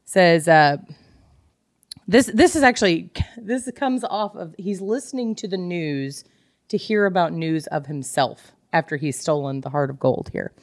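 A woman's voice: lowish voice, unhurried, no echo, clean studio sound. A woman chatting casually as a podcast co-host, candid and joking with salty language. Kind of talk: casual chat about books.

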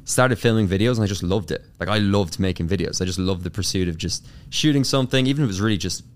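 A young man with an Irish accent, a guest on an interview show, answering in a casual, rapid way full of fillers and restarts. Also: sits low. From Ireland. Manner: fast, filler-heavy with many restarts. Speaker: YouTube consultant, guest.

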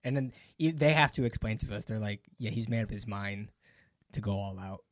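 A man's voice: on the low side, fairly quick, no room sound, clean studio sound. A man in a casual film discussion, retelling scenes with animation and frequent laughter.

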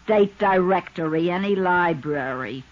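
An actress playing a cagey, boastful fake psychic with a husky voice and slangy, ungrammatical patter in a 1950s radio drama. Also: female voice, lowish voice, measured pace, lightly acted. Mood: boastful, matter-of-fact. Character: cagey streetwise woman fake psychic, boastful about her tricks. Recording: dull lo-fi old radio recording.

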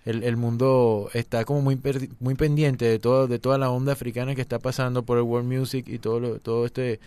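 A man from eastern Venezuela co-hosting a music radio show, explaining things in a relaxed way with many fillers. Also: medium pitch, a little fast, clear, resonant tone, no echo. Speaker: radio co-host. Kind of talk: casual radio chat.

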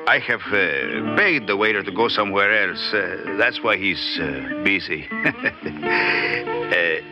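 An actor playing a polite, halting Central American local man with a Spanish accent in an old-time radio drama. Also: fairly low pitch, animated. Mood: hesitant, sly. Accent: Latin American Spanish. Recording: muffled old radio recording, music behind.

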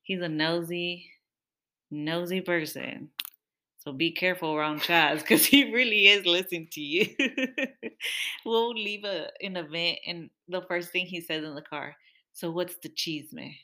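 A young woman chats casually with her partner on a podcast. Her voice sits a little low, and she talks freely and laughs often. She keeps complaining in a playful way. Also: measured pace, sharp tone. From Mexican-American family. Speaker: podcast co-host.

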